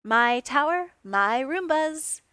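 A cocky, wisecracking male inventor, voiced by a woman reading aloud, who throws his lines high and loud with theatrical mock outrage. The delivery is unhurried and the recording is dry and close. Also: lively intonation, no room sound, heavily acted. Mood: teasing. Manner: quick theatrical teasing, rising loud. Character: brash witty male inventor, mid-life, teasing. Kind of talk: audiobook reading of fan fiction.

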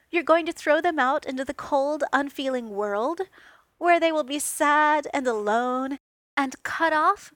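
A cocky, wisecracking male inventor, voiced by a woman reading aloud, who throws his lines high and loud with theatrical mock outrage. The delivery is unhurried and the recording is dry and close. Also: no echo, heavily acted. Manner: quick theatrical teasing, rising loud. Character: brash witty male inventor, mid-life, teasing. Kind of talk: audiobook reading of fan fiction.

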